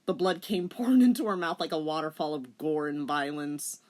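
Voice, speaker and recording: A woman's voice: low voice, lively intonation, moderate pace, a little mellow. A woman narrating a comic dramatic reading with teasing, theatrical commentary.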